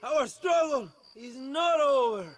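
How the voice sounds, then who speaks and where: An actor playing a grandiose Mexican rebel leader in a video game, rallying followers with pompous, impassioned oratory and a Mexican accent. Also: male voice, very high-pitched, expressive, slow, full character voice. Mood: impassioned, rousing. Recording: loud cheering crowd behind.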